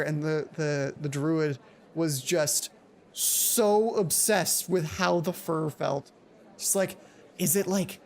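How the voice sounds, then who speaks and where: A let's play host chatting casually in a rambling, self-correcting way. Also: mid-range voice. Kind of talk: casual chat and reading a visual novel aloud. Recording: music behind the voice. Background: game music.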